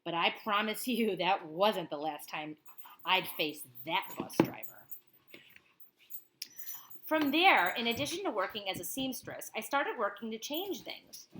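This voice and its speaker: A woman reading a picture book aloud to children expressively, pausing to explain things simply to young listeners. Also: mid-range voice, bright, forceful tone, a little hiss or hum, rough recording.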